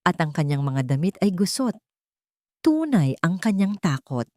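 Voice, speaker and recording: A woman narrating a dramatic love story in a low but lively voice. The recording is close and dry, with no room sound. She reads every part herself. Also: a little slow, no echo.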